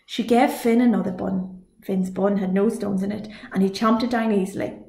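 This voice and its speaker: A woman reading a children's story aloud in an expressive storytelling way. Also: medium pitch, normal speed, vocal fry. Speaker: librarian reading a picture book. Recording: echoey room.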